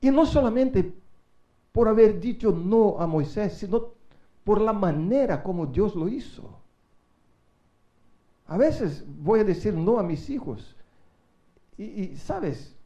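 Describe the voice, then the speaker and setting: A middle-aged man preaching a sermon in a church, speaking Spanish as a second language and swinging from quiet asides to loud rising calls to the congregation. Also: high-pitched, lively intonation, takes it slowly, long pauses, highs cut off. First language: not Spanish. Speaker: guest preacher.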